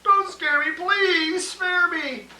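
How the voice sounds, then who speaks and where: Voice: medium pitch, animated, measured pace, bright, forceful tone. A storyteller voicing a large, nervous male elephant in a rhyming picture book, reading in a sing-song way. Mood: panicked. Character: big nervous male elephant, apologetic and easily scared. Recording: roomy, distant, noisy home recording.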